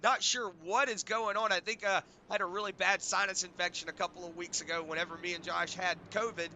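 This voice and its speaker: A high, very bright, tense male voice, a bit hoarse and raspy from lingering sinus trouble, calling laps in a loose, rambling play-by-play style full of "uh"s. There is a faint hiss of noise behind him. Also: brisk. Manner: relaxed, rambling play-by-play with fillers. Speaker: race commentator. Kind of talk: play-by-play sim racing commentary.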